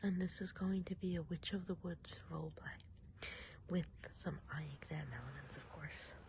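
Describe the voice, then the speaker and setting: A woman speaking in a soft, breathy ASMR whisper with a gentle, hushed delivery, as the host of a video. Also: lowish voice, normal speed, a little hiss or hum.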